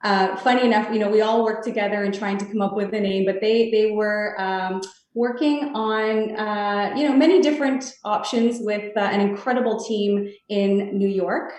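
A woman's voice: medium pitch, a little mellow. Woman, 30s, earnest rambling podcast guest, far from the mic in a very echoey room. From Canada (Toronto). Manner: earnest, halting, rambling answers. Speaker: real estate sales guest. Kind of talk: podcast interview answers.

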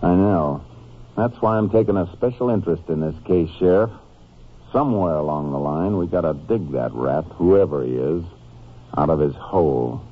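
An actor plays a resolute Texas Ranger with a very deep voice. He is clipped and matter-of-fact, in old radio crime drama style. The recording is dull with faint background noise. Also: normal speed, close to an everyday voice. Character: steady, resolute male Texas Ranger investigator. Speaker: lead detective and case narrator. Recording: muffled, noisy vintage radio recording.

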